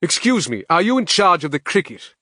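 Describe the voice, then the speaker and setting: A man's voice: very animated, fairly quick, no room sound, exaggerated voice. A man voicing an eccentric, insistent male time-traveller in an audiobook reading. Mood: declaiming. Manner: emphatic, declaiming, higher and louder than the narration. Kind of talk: audiobook character voice.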